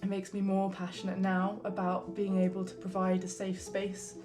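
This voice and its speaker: Flat-toned young woman from Surrey. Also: medium pitch, normal speed, over music. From Surrey, England. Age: 20s. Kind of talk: documentary interview.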